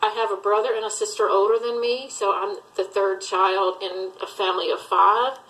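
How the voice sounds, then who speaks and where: Middle-aged woman, grew up in Alabama, rambling casual chat, lots of fillers, medium pitch. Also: level tone, moderate pace, a bit bright, poor audio. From Southern US, Alabama. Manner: rambling, chatty, many fillers. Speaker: thrift reseller vlogger. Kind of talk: casual tag video chat.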